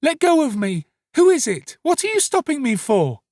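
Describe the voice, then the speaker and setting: A man's voice: extremely high pitch, sing-song, normal speed, a little mellow, no echo, clean studio sound, pitched above the performer's own voice. A man voicing a frightened, innocent orphan boy who protests in alarm in a storybook reading. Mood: startled, struggling.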